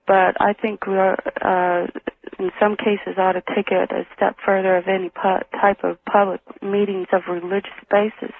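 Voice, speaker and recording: An American man phones in to a radio show over a thin, narrow line. He talks in a deliberate, halting way, feeling his way through what he has to report. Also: high voice, level tone, creaky. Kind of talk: halting informal report to a host. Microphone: telephone.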